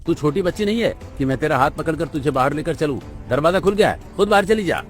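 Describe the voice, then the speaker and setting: A man's voice: a little high, animated, fast, soft background music, pitched above the performer's own voice, lightly acted. A man voicing an irritable, scornful young brother in a cartoon, speaking curtly and dismissively. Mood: annoyed, curt. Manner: curt, scornful.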